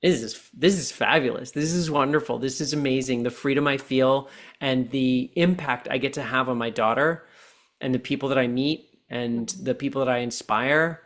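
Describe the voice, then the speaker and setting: A man in his forties, a podcast guest and coach, talking earnestly and slowing down to stress his points. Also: medium pitch, fairly bright tone. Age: 40s. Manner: deliberate, earnest coaching tone, slows down for key points. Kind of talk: reflective podcast conversation.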